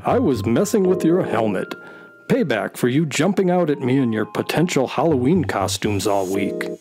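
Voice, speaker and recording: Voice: medium pitch, very animated, normal speed, over music, lightly acted. A performer playing a teasing, patient companion to a young space explorer, with a dry, reassuring manner, in a children's audio drama. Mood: fond, amused.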